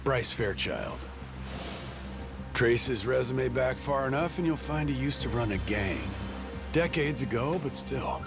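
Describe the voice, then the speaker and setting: A man's voice: medium pitch, lively intonation, a little slow, gravelly, music in the background. A man voicing a protective, grumbling father in a video game dub, firm and informal. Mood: explaining.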